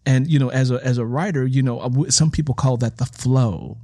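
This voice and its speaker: A man talking casually as an author in an interview, telling stories in an animated way and repeating his phrases as he goes. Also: medium pitch, a little fast, no echo, clean studio sound. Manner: animated storytelling, repeats phrases and acts out quotes. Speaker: author in conversation about writing.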